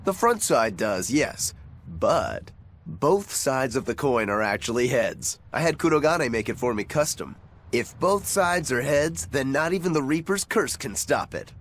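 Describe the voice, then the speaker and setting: A man's voice: mid-range voice, lively intonation, measured pace, fairly bright tone. A man voicing a breezy, roguish, encouraging young joker in a dubbed video game, with a casual, cajoling manner. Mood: playful. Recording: faint music behind the voice.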